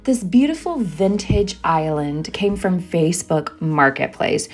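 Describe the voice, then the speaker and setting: Female home decor vlogger, slightly low-pitched voice, narrating a house tour in voice-over. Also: lively intonation, a little slow, over music.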